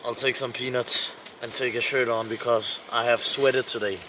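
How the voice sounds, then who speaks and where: A man with a Danish accent chatting casually to camera as an outdoor vlogger, in short, plain, halting phrases, recorded outside. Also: medium pitch, level tone, background noise. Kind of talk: casual chat narrating camping tasks to camera. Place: outdoors at a winter campsite.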